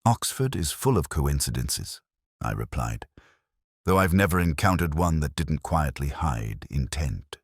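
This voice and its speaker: A man playing a brooding, weary police inspector, narrating a literary detective audio drama in measured, contemplative phrases. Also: very deep voice, very animated, husky, dry recording, clean studio sound, full character voice. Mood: quietly suspicious.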